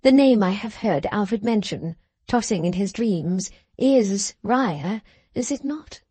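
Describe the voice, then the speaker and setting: A woman reading aloud and voicing a gushing, scheming society wife who pleads slowly and sweetly. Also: medium pitch, animated, mellow, slightly rough, no room sound. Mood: pleading. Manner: slow, pleading, sugary. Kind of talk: audiobook character voice.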